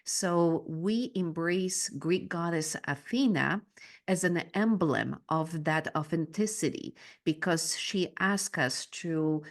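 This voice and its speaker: A woman from Poland, a native Polish speaker, talking as a guest on a podcast in an earnest, measured way about her coaching work. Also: fairly low pitch. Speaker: guest, business mindset coach. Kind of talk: podcast interview.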